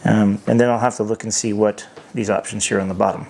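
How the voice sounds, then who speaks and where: A man giving an unscripted hands-on product review, thinking aloud in a halting way. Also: lowish voice, flat delivery, gravelly, darkish tone, slight background noise.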